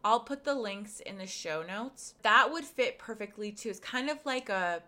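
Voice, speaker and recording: Woman host, bright voice, a bit worn, chatty and frank, lots of um and like. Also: medium pitch, animated, normal speed. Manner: chatty, frank, many fillers. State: losing her voice. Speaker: podcast host and pleasure coach. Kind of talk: casual podcast monologue.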